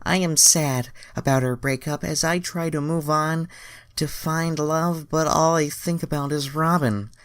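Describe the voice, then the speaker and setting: A man voicing an earnest, naive young alien woman in falsetto, with stilted formal phrasing and a Southern US twang. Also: fairly even intonation, a little slow, a bit bright, dry recording, clean studio sound. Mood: wistful, earnest.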